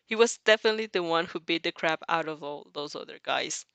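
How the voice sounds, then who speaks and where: A woman's voice: sits low, a little fast, a bit bright, smooth, dry recording. A woman reading fanfiction aloud and reacting to it, with a giggly manner that often tips into laughter. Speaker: fanfiction reader and reaction host.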